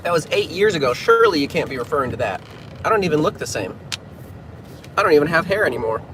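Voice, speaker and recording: A man's voice: a little high, sing-song, fast talker. A man from New England chatting casually on a livestream while driving, narrating his route and reading out fan comments. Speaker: pianist livestreaming to fans. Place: inside a moving car. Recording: loud road and engine noise. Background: loud car and traffic noise.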